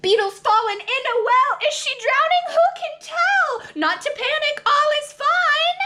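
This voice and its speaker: A woman reading a rhyming children's storybook aloud as a preschool teacher, with dramatic, expressive, singsong delivery. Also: very high voice, measured pace.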